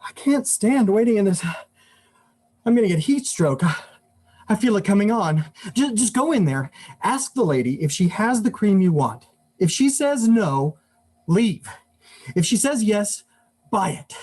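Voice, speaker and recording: A man's voice: high voice, sing-song, unhurried, pauses often, darkish tone, slightly rough, exaggerated voice. A man performing a live monologue as an exasperated, overheated husband, complaining in a whining, dramatic, agitated way. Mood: impatient, pleading. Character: exasperated, overheated husband ranting about crowded shopping.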